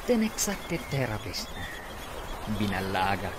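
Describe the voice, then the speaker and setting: A man's voice: lowish voice, very animated, unhurried. A man playing a scheming, greedy cousin in a radio drama, gloating and theatrical. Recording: loud background noise.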